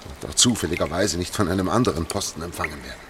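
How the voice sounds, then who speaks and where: An actor in a German radio play playing a sober, methodical older man, speaking in a hushed, deliberate way. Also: low-pitched, lightly acted. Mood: tense, controlled. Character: sober, methodical older man guiding a girl through sewers. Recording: noisy track with trickling water. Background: running, dripping water.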